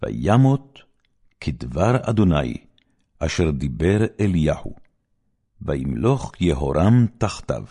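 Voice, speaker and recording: A man with a low voice reads holy scripture aloud as an audiobook narrator. His delivery is solemn and measured, with wide swings of intonation that give key phrases declamatory weight. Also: sing-song, mellow, breathy edge. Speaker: audiobook Bible reader.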